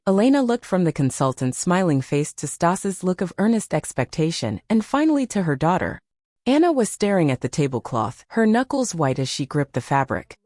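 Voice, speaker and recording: A woman's voice: fairly low pitch, sing-song, normal speed, a little mellow, dry recording, studio quality. A woman reading a dramatic scripted story as an audiobook narrator.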